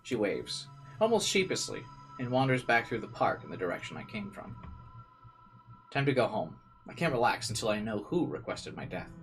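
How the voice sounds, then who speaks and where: A man with a fairly low voice reads visual novel narration aloud as a let's player. He mixes dramatic reading with casual commentary, and faint game music sits behind him. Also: sing-song, moderate pace.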